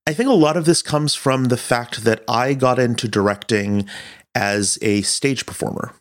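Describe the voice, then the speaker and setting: A man's voice: medium pitch, normal speed. An American man answering interview questions as a director, explaining his work in a reflective, conversational way. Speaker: director and producer being interviewed. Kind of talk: casual interview.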